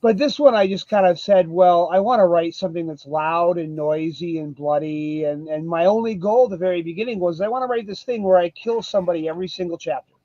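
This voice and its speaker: Male guest, warm and soft-toned, reflective and unhurried, thin dry lo-fi sound. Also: high-pitched, dry recording. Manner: relaxed, reflective, many fillers. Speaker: guest author. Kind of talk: casual interview chat. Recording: dull, dry lo-fi mic.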